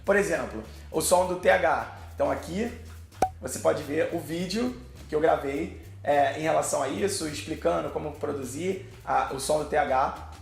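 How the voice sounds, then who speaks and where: A man's voice: a little high, normal speed, vocal fry, reverberant. A Brazilian man with a Brazilian Portuguese accent, teaching English pronunciation in a video lesson, posing questions and then answering them.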